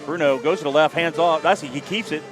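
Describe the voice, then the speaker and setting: A man calling play-by-play for a high school football game, openly rooting for the home team. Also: a little fast. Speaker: play-by-play announcer. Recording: music in background.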